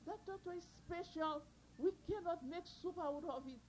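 A woman voicing an excitable, greedy farmer man who shouts exclamations in a folk tale. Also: very high voice, moderate pace, a little hiss or hum. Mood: pleading. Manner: loud exclamations, threatening.

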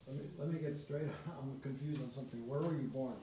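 A man interviewing a musician, asking curious, probing follow-up questions. His voice is mellow and set back from the mic. The room is very echoey, with faint ambience behind him. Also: mid-range voice, steady tone, fast, a little hiss or hum. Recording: roomy, distant with faint ambience.

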